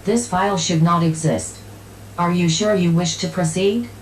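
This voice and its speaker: A woman's voice: lowish voice, moderate pace, slight background noise, natural voice. A woman voicing an emotionless computer file system that makes formal, mechanical announcements in a horror story. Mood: impassive. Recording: echoey, with music.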